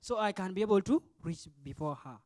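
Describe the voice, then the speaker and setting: A man's voice: high voice, animated, fairly quick, darkish tone, no echo. Young South Sudanese man, dry close sound. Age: 20s. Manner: didactic, short phrases, questioning the audience. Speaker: student leader. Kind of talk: impromptu forum speech.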